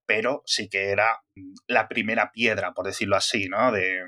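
Man, bright tense voice, animated podcast chatter, jokey asides. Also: lowish voice, normal speed, a little hiss or hum. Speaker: podcast host. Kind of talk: casual tech podcast chat.

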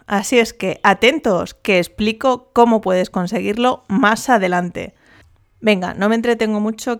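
Chatty gravelly-voiced woman podcast host. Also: mid-range voice. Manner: chatty, rambling, jokey asides. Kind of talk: casual solo podcast.

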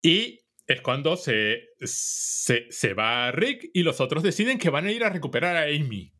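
A man's voice: a little high, very animated, a little slow, bright, forceful tone. A man co-hosting a podcast, recapping a cartoon episode in casual chat. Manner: recaps episode plot, quotes lines with little acting. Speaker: podcast co-host.

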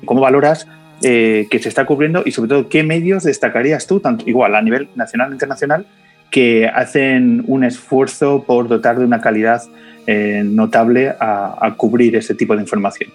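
A man hosts an interview program and asks long, measured questions at an even middle pitch, pausing on small fillers as he shapes each one. Soft music plays faintly behind his voice. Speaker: radio program host, interviewer.

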